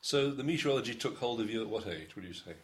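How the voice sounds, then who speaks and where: A man interviewing an older guest for an oral history recording, asking questions in a relaxed, conversational way. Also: mid-range voice, quick pace.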